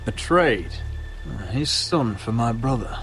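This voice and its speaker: A man's voice: medium pitch, lively intonation, slow, a bit bright, slight background noise, low-quality recording. A man playing a resolute young lord in a dramatic fantasy game, grave and decisive, speaking in firm, terse lines. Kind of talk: video game dramatic acting.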